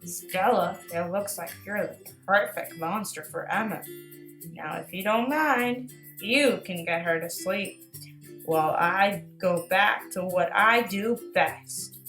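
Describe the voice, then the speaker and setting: Woman voicing a gruff, bossy storybook monster. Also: mid-range voice, slow, fairly bright tone, roomy sound, soft background music. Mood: pleased. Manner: growling, thundering commands. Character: big gruff bossy male monster under the bed. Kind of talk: children's storybook read-aloud.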